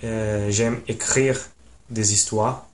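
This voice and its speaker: Halting young Italian man, slow and flat. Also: lowish voice. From Italy. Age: 20s. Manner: halting, many pauses and fillers. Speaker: language learner. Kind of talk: casual self-introduction. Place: small room at home.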